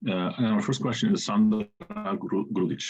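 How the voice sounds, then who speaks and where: A man moderating an online panel, brisk and lightly joking, on dull lo-fi call audio. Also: on the low side, darkish tone, husky, soft background music.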